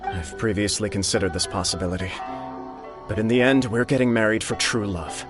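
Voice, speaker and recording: Man playing an earnest, low-voiced young nobleman. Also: fairly even intonation, fairly quick, music in the background, lightly acted. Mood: earnest, troubled. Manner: polite, sincere, formal. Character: earnest, gentle young noble groom, devoted and dutiful. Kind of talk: video game voice acting.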